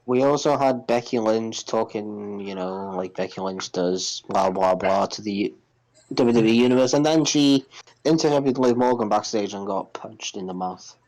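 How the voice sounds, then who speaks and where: A man's voice: on the low side, normal speed, a little mellow, rough recording. A man co-hosting a podcast, chatting casually in a blunt, matter-of-fact manner. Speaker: podcast co-host. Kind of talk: casual wrestling recap chat.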